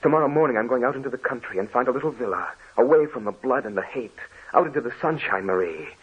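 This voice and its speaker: Brief: earnest young suitor, husky, passionate; muffled vintage radio sound. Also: male voice, mid-range voice, animated, fast. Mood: reassuring. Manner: ardent, pleading. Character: young male lover, earnest and devoted. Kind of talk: 1940s radio acting. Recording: dull lo-fi old radio recording.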